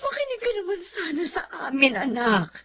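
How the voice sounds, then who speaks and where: A woman's voice: very high voice, huge pitch swings, a little fast, fairly bright tone, heavily acted. An actress playing a worried, scolding but caring middle-aged mother in a Filipino radio drama. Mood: anxious pleading. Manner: pleading, admonishing.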